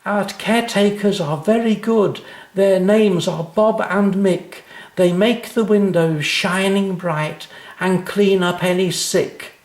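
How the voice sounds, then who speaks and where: A man's voice: high-pitched, steady tone, slow pace, echoey room, voice pushed up. A man voicing a cheeky, eager little schoolgirl in a children's story, with sing-song, emphatic delivery. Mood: proud, reciting.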